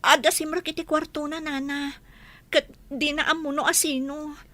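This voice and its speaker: A woman's voice: high-pitched, little pitch movement, moderate pace, a bit bright, no room sound. A woman acting an anxious young woman confiding in her elder in a radio drama. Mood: apologetic, anxious.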